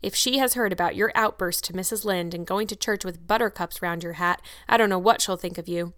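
A woman playing a brisk, stern, practical guardian in a storybook, scolding in a no-nonsense but kindly way. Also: on the low side, a bit bright, no room sound, clean studio sound, close to an everyday voice. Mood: brisk admonishing. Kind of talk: audiobook character acting.

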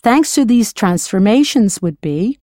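A woman whose first language is English, narrating an audio language lesson in a clear, encouraging way, slowing down to model pronunciation. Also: mid-range voice, expressive, dark tone, no room sound, clean studio sound. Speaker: language teacher.

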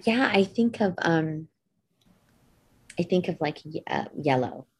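A native Punjabi-speaking woman in casual, reflective conversation, often halting as she searches for the right words. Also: low voice, animated, vocal fry, slight background noise, rough recording.

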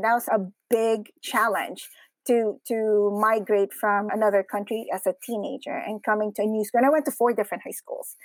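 A woman with a fairly high-pitched voice, from the Philippines, answers as an interview guest. She tells personal stories in a conversational style. The sound is dry, with little room around her. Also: no echo. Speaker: interview guest, women's community founder.

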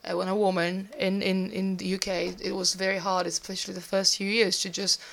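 Non-native English woman executive, gravelly, flat delivery, thoughtful pauses. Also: mid-range voice, rough recording. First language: not English. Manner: measured, with many "uh" and "um". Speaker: guest, company CEO. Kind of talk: conversational interview.

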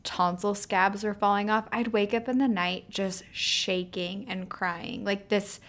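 A woman's voice: medium pitch, fairly even intonation, unhurried, a bit bright. Woman, podcast host, casual solo chat, slightly nasal, sore healing throat, fillers. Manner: casual and chatty, many ums and likes.

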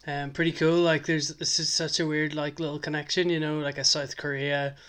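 A man chats casually as a podcast host, rambling through his thoughts with plenty of "like" and "uh". His voice sits a touch high but stays flat in melody. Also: fairly quick, smooth. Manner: rambling, filler-heavy, enthusiastic. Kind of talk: casual chat.

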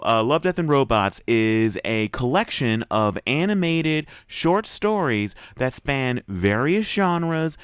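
Casting: male review podcast co-host, clean smooth voice, very lively pitch swings. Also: no room sound, clean studio sound. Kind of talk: casual review chat.

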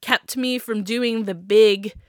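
A woman's voice: fairly high pitch, fairly even intonation, slow pace, no echo, clean studio sound. Stuffy, giggly woman podcaster. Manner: chatty, giggly, many ums. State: stuffy from a sinus infection. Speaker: podcast host. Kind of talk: casual solo podcast chat.

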